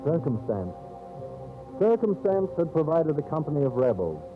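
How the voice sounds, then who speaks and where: Vintage 1930s radio narrator, thin band-limited sound. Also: male voice, fairly high pitch, very animated. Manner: solemn, theatrical, measured storyteller delivery. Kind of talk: 1930s dramatic radio storytelling, scripted narration. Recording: old scratchy 1930s radio, narrow phone-like band. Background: dramatic background music.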